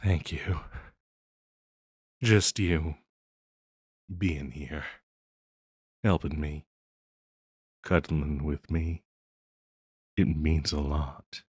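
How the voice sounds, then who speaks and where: A man's voice: very deep voice, fairly even intonation, extremely slow, long pauses, a little mellow, slightly rough, dry recording, studio quality, heavily acted. A man playing an affectionate, easily flustered harpy boyfriend in an intimate audio roleplay, speaking tenderly and a little hesitantly with a teasing streak. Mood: soothed, loving.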